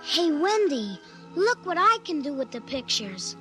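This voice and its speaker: An actor playing an eager, bold young boy in a vintage radio drama, with a high childlike voice and an excited, confident manner. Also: a little slow, soft background music, exaggerated voice.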